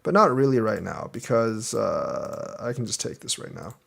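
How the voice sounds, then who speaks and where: A man's voice: fairly low pitch, sing-song, moderate pace, creaky, a bit bright. A young man teaching a board game, casually reviewing a student's match and thinking aloud as he explains each move step by step.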